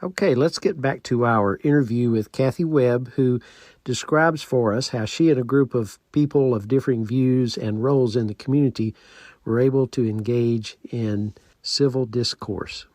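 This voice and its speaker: A man co-hosting a conversational podcast, explaining his thoughts in a measured, reflective way. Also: medium pitch, darkish tone, dry recording. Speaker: co-host.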